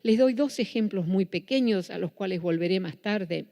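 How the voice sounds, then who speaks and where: Lecturer: woman, Buenos Aires accent, warm low tone, long hall reverb. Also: moderate pace, vocal fry. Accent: Buenos Aires Spanish. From Netherlands. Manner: self-correcting, with frequent restarts. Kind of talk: public lecture.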